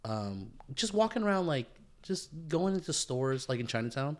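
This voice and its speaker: A man's voice: medium pitch, very animated, normal speed. An American man co-hosting a podcast, chatting casually and slangily with a friend. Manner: slangy, frequent "bro" and "dude". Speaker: podcast co-host. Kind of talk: casual chat between friends.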